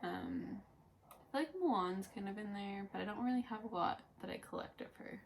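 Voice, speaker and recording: A woman in her early twenties with noticeable vocal fry, chatting off the cuff as a vlog co-host. She rambles loosely with lots of "like", and there is faint noise behind her. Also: medium pitch, expressive, brisk. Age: early 20s. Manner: rambling, frequent "like". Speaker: co-host of a Disney fan vlog. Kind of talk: casual unscripted chat, answering tag questions.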